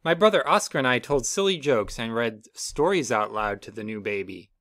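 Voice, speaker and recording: Male reader, animated storybook delivery, dry home recording. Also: normal speed, dry recording. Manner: lively storybook reading with playful pitch jumps. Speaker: reader of a children's book. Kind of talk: reading a picture book aloud.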